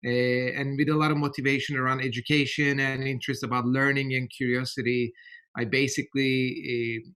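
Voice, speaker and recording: A middle-aged man from Turkey with a Turkish accent, giving a podcast interview in a measured, thoughtful way with many hesitations. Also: medium pitch, little pitch movement, a bit bright, smooth. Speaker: interview guest.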